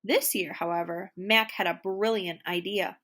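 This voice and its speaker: A woman storyteller reading a children's storybook aloud in an expressive, deliberate way. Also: fairly low pitch. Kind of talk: scripted children's storybook narration.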